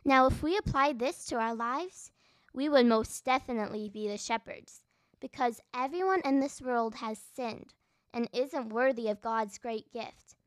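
A woman's voice: a little high, a little slow, dry recording. A female podcast host narrating and discussing a Bible story for children.

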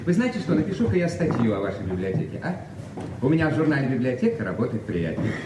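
A polite, restless gentleman played by a man, uneasy beneath his courtesy, in radio theatre. The voice is mid-pitched and echoey, behind very loud noise. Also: big pitch swings, fast talker, close to an everyday voice. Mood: courteous. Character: courteous, restless male visitor, smooth but uneasy. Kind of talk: Soviet radio drama acting. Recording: echoey, distant, very noisy old radio recording.

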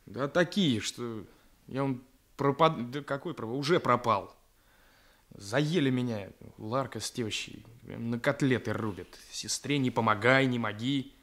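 An actor playing a naive, good-hearted young policeman in a radio drama, plaintive and halting as he repeats himself. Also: mid-range voice, a bit bright.

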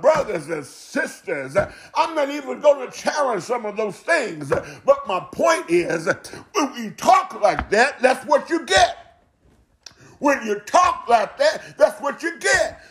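Male preacher, very high-pitched and lively in range, fervent exclamatory sermon with emphatic repetition. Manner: exclamatory, repeats phrases emphatically for effect. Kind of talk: fervent Pentecostal-style sermon.

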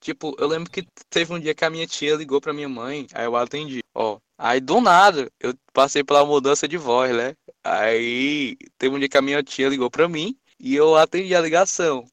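A seventeen-year-old young man, hosting a casual podcast chat and telling rambling, animated stories full of slang. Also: fairly high pitch, normal speed, hardly any pauses, dry recording. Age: teens. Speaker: podcast host.

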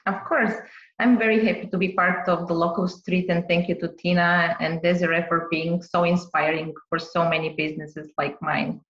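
A woman's voice: lowish voice, steady tone, normal speed, fairly bright tone. Woman from Bulgaria, echoey dull line. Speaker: business owner guest. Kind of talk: podcast interview answers.

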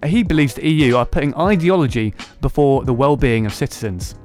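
A man's voice: mid-range voice, expressive, a little fast, darkish tone, faint music behind. A man hosting a casual political podcast, sarcastic and mocking. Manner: sarcastic, mocking voices of others. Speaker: podcast host.

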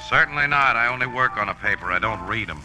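An actor playing a wisecracking, streetwise newspaper photographer, dry and insistent, in old-time radio drama style. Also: male voice, mid-range voice, animated, fast, close to an everyday voice, poor audio. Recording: old radio recording, faint music.

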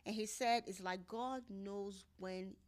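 A woman's voice: medium pitch, slow. A woman hosting a podcast interview in a casual, heartfelt conversation, speaking in a reflective, personal way and repeating phrases for emphasis. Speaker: podcast host interviewing a guest.

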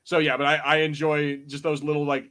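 A man's voice: on the high side, completely flat delivery, brisk, fairly bright tone. A man co-hosting a podcast, talking in a casual, rambling way as he reviews a show. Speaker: podcast co-host. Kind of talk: casual film review chat.

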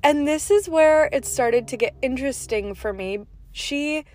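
A woman's voice: high-pitched, measured pace, a little mellow. Nasal young woman rambling from a car. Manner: rambling, full of "like", laughs between asides. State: stuffy and nasal from a cold. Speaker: podcast host. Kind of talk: casual storytelling monologue. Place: inside a parked car.

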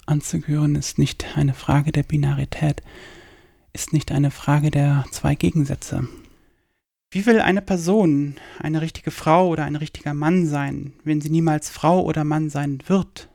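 A man delivering a scripted personal monologue in a radio play, with calm, measured, declarative statements. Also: on the high side, creaky, no echo, studio quality.

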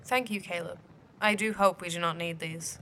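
A woman's voice: lowish voice, moderate pace, slight background noise. A woman playing a solemn, patient otherworldly guide who speaks stiffly and formally in a fantasy audio drama. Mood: earnest. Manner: stiffly formal, avoids contractions, deadpan.

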